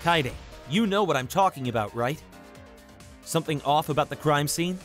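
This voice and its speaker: A man's voice: on the high side, expressive, normal speed, soft background music, natural voice. A man voicing a confident, earnest teenage student in an anime fan dub. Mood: assured. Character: confident, earnest teenage male student making his case.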